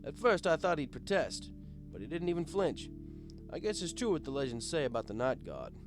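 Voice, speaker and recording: A man's voice: on the high side, big pitch swings, a little fast. A man narrating a first-person story as a bartender, conversational and wry. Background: guitar music.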